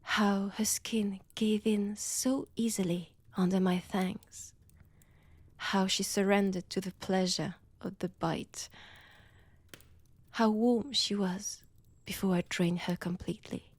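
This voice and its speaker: Woman playing a slow, whispering French-accented vampire seductress. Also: mid-range voice, fairly even intonation, no echo. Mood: menacing, gloating. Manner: slow, taunting, theatrical, dropping often into breathy whispers. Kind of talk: intimate scripted roleplay audio drama, often whispered.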